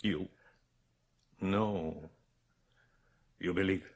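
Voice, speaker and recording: Old general in a radio play, mournful n vengeful, really slow deep voice. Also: male voice, steady tone, breathy edge, full character voice. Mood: grieving. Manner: slow, halting, solemn. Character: grieving, vengeful old general recounting his daughter's death. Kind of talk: 1980s radio drama acting.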